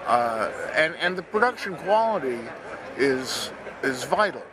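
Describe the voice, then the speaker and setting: A man's voice: on the high side, very animated, measured pace. An older man talking casually as an interview guest. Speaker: interview guest, comic book editor. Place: busy comic convention booth. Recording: loud convention crowd behind.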